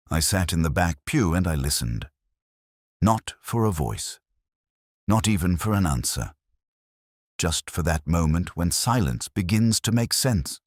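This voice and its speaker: A man playing a melancholy, reflective police inspector, narrating an audio drama in slow, measured, solemn literary prose with deliberate pauses. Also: very deep voice, no room sound, clean studio sound, exaggerated voice. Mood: solemn, hushed. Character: melancholy, reflective male police detective inspector telling his story.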